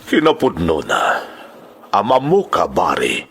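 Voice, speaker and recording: Deep, slow-talking grave Ilocano doctor. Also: male voice, wildly swooping pitch, fairly bright tone, husky, heavily acted. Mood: grave, measured. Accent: Filipino, Ilocano. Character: grave, deliberate male doctor, old family friend. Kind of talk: radio drama acting.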